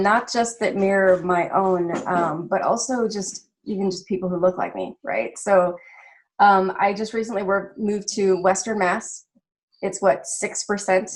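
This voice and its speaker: A woman's voice: medium pitch, slight background noise. A woman from the Philippines speaking as a panelist on a video call, thoughtful and hesitant, with frequent fillers. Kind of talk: casual panel discussion.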